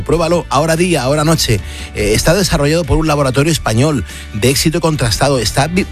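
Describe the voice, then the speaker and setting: A man hosting a late-night radio show, talking casually with frequent fillers and restarts. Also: medium pitch. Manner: chatty, hesitant fillers and restarts. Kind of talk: casual on-air chat and live ad read. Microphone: studio broadcast mic.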